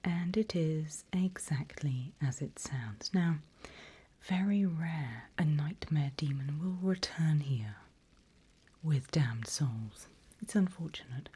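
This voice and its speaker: A woman plays a seasoned female demon guiding a new recruit in an ASMR roleplay. Her voice is a very breathy whisper, low and soft-edged. She speaks with unhurried, dry wit and encouragement. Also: heavily acted. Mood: softly sinister. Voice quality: breathy, mostly unvoiced whisper. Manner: slow, deliberate, dryly humorous.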